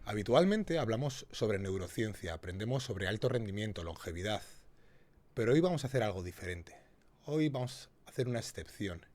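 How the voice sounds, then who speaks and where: Low-voiced podcast host. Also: male voice, animated, brisk, a little mellow, soft background music. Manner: builds up intro with rising energy. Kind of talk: interview podcast, scripted intro.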